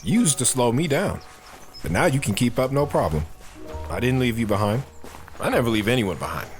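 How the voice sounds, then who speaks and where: A man playing a gentle, encouraging father in an audio drama, calm and reassuring. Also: medium pitch, brisk, soft background music, close to an everyday voice. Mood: warm, reassuring.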